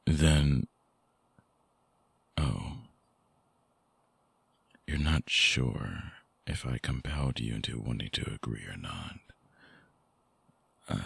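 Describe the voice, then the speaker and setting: A brooding vampire ex-lover, acted by a man, murmurs right up close in an extremely low voice full of heavy fry. He is breathy and husky, lovesick and possessive, coaxing slowly in an intimate ASMR roleplay with a very dry, close sound. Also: no echo, full character voice. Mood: hurt, pleading. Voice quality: very deep, breathy, gravelly. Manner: slow, hushed, coaxing, with long pauses. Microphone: close microphone, dry binaural recording.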